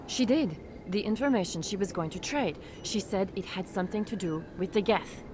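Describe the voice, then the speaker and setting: A woman's voice: medium pitch, animated, moderate pace, natural voice. A woman voicing a forthright female team member in a sci-fi video game, with ambient noise behind her. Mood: serious, frank.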